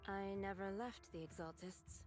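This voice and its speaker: A woman playing a cold, resigned young antagonist in a video game, measured and aloof. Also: mid-range voice, close to an everyday voice. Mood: cold, dismissive. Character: cold, resigned young woman, loyal member of villainous faction. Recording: faint background music.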